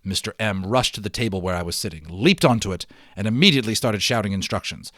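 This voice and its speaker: A man giving an expressive, emphatic dramatic reading of a storybook, as an amateur narrator. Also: lowish voice, fast, no room sound, clean studio sound. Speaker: amateur audiobook reader. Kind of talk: dramatic storybook read-aloud with chatty asides.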